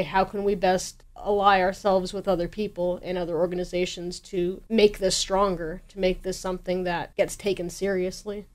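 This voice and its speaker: A woman gives explanatory answers as an interview guest. She talks like a committed campaigner, earnest and emphatic about her cause, with a medium-pitched voice. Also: darkish tone.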